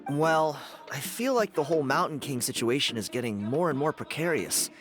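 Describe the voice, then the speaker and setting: A man's voice: fairly high pitch, expressive, normal speed, music in the background, close to an everyday voice. A man voicing a boastful, breezy young tribesman dancer in a video game. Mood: breezy, uneasy.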